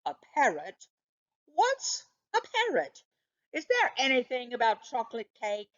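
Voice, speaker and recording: A woman's voice: a little high, wildly swooping pitch, measured pace, a bit bright, highs cut off, voice pushed up, heavily acted. A woman voicing a naive, good-natured, food-loving male dog in a children's storybook, with an earnest, innocent manner. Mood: curious, sleepy. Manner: earnest, innocent, striving for dignity. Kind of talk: children's storybook read-aloud.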